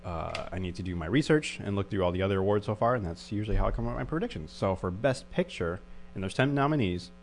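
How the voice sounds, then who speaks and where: A man's voice: fairly low pitch, animated, fast. A man co-hosting a podcast in casual chat, hesitant with many fillers and restarts. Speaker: podcast co-host. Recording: faint mains hum.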